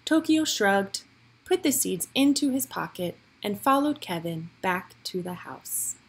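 A woman reading a picture book aloud for young children, with expressive, deliberate storytelling phrasing. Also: mid-range voice, husky.

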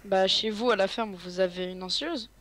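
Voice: mid-range voice, brisk, fairly bright tone. A guest player chatting casually during a co-op farming game, rambling and playful in tone. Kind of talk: casual co-op gameplay chat.

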